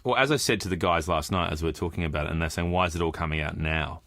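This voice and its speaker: Deep-voiced Australian man, podcast co-host. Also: rapid-fire, creaky, a bit bright, no echo. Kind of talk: casual podcast chat.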